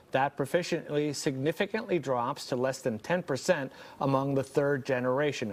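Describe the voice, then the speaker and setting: A man who grew up speaking Spanish, presenting and interviewing as a television news host. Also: medium pitch. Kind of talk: studio news introduction and interview.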